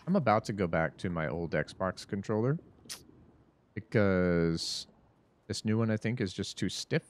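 A man's voice: deep voice, a little slow. A man in his thirties giving rambling, self-mocking commentary while streaming a horror game from his home setup. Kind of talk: casual gameplay commentary.

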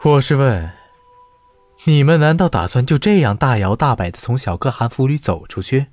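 Guy playing a wry clever steward in an old-time costume audio drama, very animated delivery. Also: medium pitch, normal speed, dry recording, soft background music, natural voice. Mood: dry challenge. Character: shrewd, wry palace steward of ancient Central Asia.

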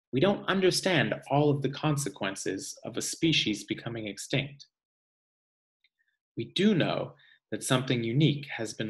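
A man in his thirties reading a children's nonfiction picture book aloud in a deliberate way, pausing for musing asides. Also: mid-range voice. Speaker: read-aloud reader. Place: small indoor room at home.

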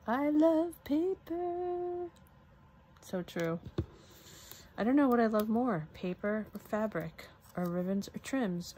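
Female craft vlogger, unhurried and informal, thinks out loud; noticeable noise behind her. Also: medium pitch. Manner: chatty, musing aloud to herself. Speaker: crafter showing a product haul. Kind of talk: casual unboxing commentary. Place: indoors at a craft table.

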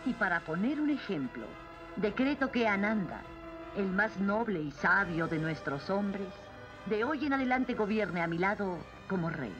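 A regal cartoon queen acted by a woman with a Latin American accent. She is formal and commanding, with wide expressive swings and clear music behind her. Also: medium pitch, a little slow, lightly acted, rough recording. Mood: solemn, proud. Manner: stately, formal.

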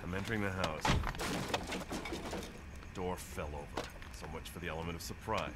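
A low-pitched, gritty male cop played by an actor radios in what he finds in an audio drama. He talks in terse, halting, colloquial bursts over clear background noise. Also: close to an everyday voice. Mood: wary. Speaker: police investigator in an audio drama game. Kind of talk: radio drama acting, field report.

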